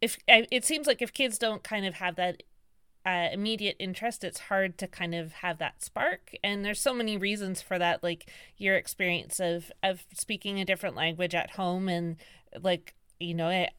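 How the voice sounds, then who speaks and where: A woman's voice: lowish voice, bright, forceful tone, clean tone, dry recording, studio quality. A woman hosting an interview podcast, chatty and rambling, full of likes and restarts. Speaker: podcast host. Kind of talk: casual interview chat.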